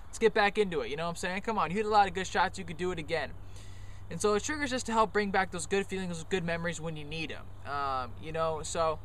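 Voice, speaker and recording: A man's voice: high-pitched, quick pace, a bit bright, slight background noise. A young man giving a casual golf lesson on the course, loose and joking, with many fillers. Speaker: golf coach.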